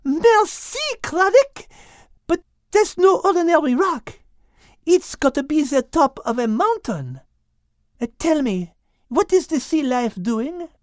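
Elegant, high-voiced French lady cat. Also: very animated, slow pace, no room sound, clean studio sound, full character voice. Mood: excited. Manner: polite, precise, gracious. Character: elegant, composed, clever female French cat.

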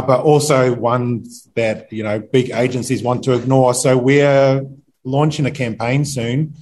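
A man with a New Zealand accent explains a campaign at a middling pitch. He halts often, filling the gaps with uhs and restarts. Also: a little mellow. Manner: hesitant, many fillers. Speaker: guest campaigner. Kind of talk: casual chat.

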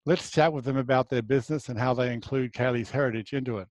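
A man's voice: mid-range voice, fast talker, no room sound. A man with an Australian accent hosting a travel show, reading a scripted intro and then chatting with enthusiasm. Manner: enthusiastic, affirming.

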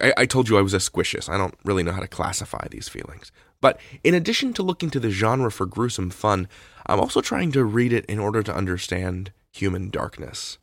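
A man's voice: low voice, vocal fry, a bit bright, dry recording, studio quality. A man hosting a podcast with reflective, conversational commentary. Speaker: podcast host.

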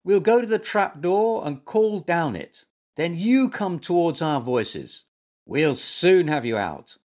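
A woman's voice: on the low side, expressive, slow pace, darkish tone. Woman voicing a dramatic, boastful little monkey. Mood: urgent.

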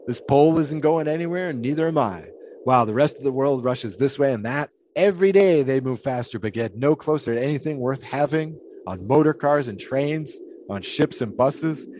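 A man's voice: medium pitch, expressive, moderate pace, a little mellow, slight background noise, lightly acted. A man playing an eccentric, devout 1920s pole-sitter in an audio drama, musing in folksy, old-fashioned storytelling phrases. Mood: reflective, contented.

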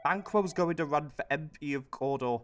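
A woman's voice: low voice, moderate pace, a little hiss or hum, close to an everyday voice. A woman with a British accent playing a weak, petulant and rather dim Prime Minister in a satirical radio play. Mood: puzzled, reluctant.

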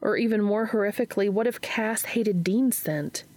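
A woman reading fan fiction aloud as an expressive scripted story narration. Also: mid-range voice, moderate pace, soft background music.

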